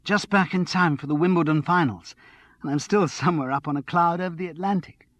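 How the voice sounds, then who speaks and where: A man's voice: fairly high pitch, fairly quick, no echo, voice pushed up, natural voice. A man putting on a giddy, tipsy young woman. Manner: breathless, chattering, excitable.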